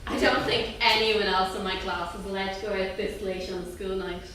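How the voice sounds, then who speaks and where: A woman's voice: mid-range voice, steady tone, fairly quick, fairly bright tone, natural voice. A woman playing an eager, nervous schoolgirl in a staged reading, hesitant and childlike. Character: eager, nervous young Irish schoolgirl, obedient daughter. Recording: echoey theatre, distant mic, faint noise.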